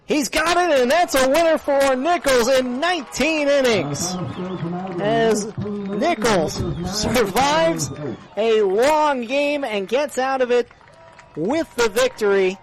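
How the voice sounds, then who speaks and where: High-voiced man calling baseball on radio. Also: animated, takes it slowly. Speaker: baseball play-by-play announcer. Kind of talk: live radio sports commentary. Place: live at baseball games.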